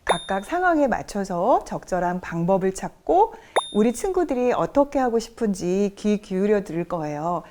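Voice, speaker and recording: A woman instructor explaining things to children in a friendly, reassuring and gentle way. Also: mid-range voice, very animated, normal speed, few pauses, noisy background.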